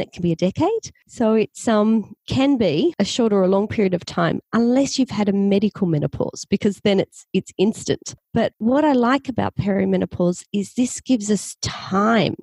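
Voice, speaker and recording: An Australian woman speaking as a naturopath guest, her voice mellow and relaxed. She explains steadily and patiently, in a dry, close recording. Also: mid-range voice, normal speed, few pauses, dry recording. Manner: clear, patient explaining with occasional restarts. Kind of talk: explanatory interview talk.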